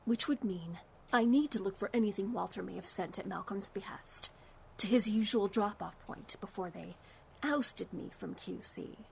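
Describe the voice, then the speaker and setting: A young woman acting in an audio drama as a sharp, wry, tech-savvy younger sister. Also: medium pitch, normal speed, vocal fry, slight background noise, natural voice, low-quality recording. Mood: focused. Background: music.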